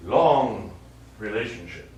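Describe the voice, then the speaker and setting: A man's voice: fairly low pitch, lively intonation, slow, darkish tone. A man acting a smarmy, lecherous, self-satisfied lawyer in a comic stage play, with a theatrical, hesitant, leering manner. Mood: tipsy joviality. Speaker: stage actor. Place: small theatre studio stage. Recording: echoey theatre stage, distant mic, faint noise.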